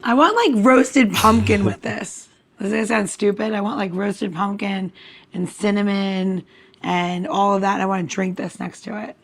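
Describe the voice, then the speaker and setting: A woman's voice: medium pitch, steady tone, measured pace, vocal fry. A woman co-hosting a podcast in casual chat, talking in a very informal, chatty and emphatic way. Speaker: podcast co-host.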